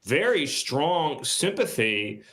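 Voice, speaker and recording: A male political commentator making his case on TV. His voice is a little high and rises and falls a lot as he hammers home each point with repeated words. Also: very animated, slow, fairly bright tone. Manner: firm, emphatic, repeating key words. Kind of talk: political argument on TV.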